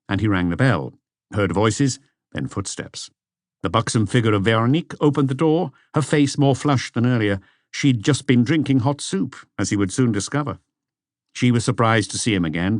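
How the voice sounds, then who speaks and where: Male audiobook narrator for mystery fiction: low register, animated intonation, very dry sound. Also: no room sound. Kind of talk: scripted mystery novel narration.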